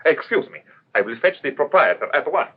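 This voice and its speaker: An actor playing a polite, eager and easily flustered restaurant waiter in an old radio drama, speaking with servile deference. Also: male voice, on the high side, very animated, fairly quick, husky. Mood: flustered, apologetic. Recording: muffled old radio recording.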